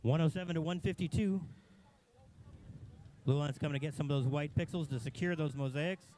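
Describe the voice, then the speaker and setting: A man's voice: on the high side, warm tone, a little hiss or hum. Man calling scores at a robot match. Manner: brisk calls of scores and action. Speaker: play-by-play commentator at a robotics competition. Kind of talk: live event commentary. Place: competition venue.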